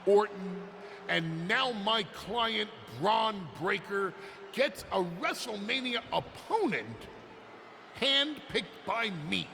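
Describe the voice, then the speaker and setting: A man's voice: high-pitched, animated, takes it slowly, creaky, sharp tone, full character voice. A man playing a scheming, pompous wrestling manager who speaks in grandiose, theatrical oratory in a video game promo. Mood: smug, menacing. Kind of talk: dramatic in-ring wrestling promo. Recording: noisy arena ambience.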